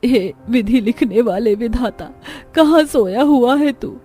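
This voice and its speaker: Woman acting pleading poor mother, high voice. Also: animated, measured pace, mellow, breathy edge, faint music behind. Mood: anguished prayer. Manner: plaintive, imploring. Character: poor desperate widowed mother, pleading and tearful. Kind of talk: melodramatic Hindi cartoon story acting.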